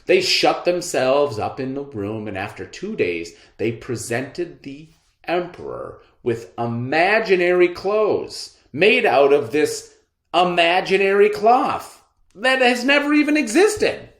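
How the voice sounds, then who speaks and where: A man reading a storybook aloud in a deliberate, theatrical narrating style. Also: fairly high pitch, very animated, fairly bright tone. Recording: roomy echo.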